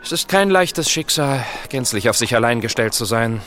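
A man's voice: medium pitch, animated, normal speed, fairly bright tone, faint music behind, close to an everyday voice. Man playing an earnest, formal period investigator. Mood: concerned, alert. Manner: formal, concerned. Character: earnest, compassionate 19th-century investigator brother. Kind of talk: German audio drama dialogue.

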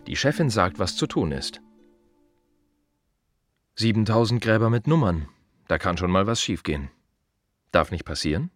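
An actor playing a plain-spoken, wry gravedigger in a radio play monologue, confiding in short halting phrases with dry irony. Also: male voice, fairly low pitch, animated, no room sound, soft background music, natural voice. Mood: matter-of-fact, wry.

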